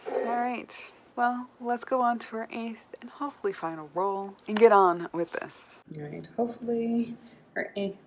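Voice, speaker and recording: A woman's voice: fairly high pitch, normal speed, slight background noise. A woman book vlogger chatting casually and informally to camera, thinking aloud as she goes. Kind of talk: casual chat to camera while playing a reading-list game.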